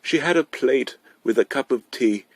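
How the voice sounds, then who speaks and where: A man's voice: medium pitch, moderate pace, slightly rough, no room sound. A man reading a short story aloud as scripted narration. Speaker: amateur story reader.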